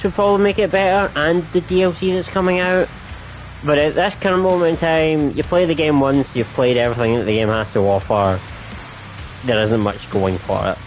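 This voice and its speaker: A man's voice: a little high, rough recording. A man giving a casual, rambling review of a game with friends, laughing often and restarting his sentences.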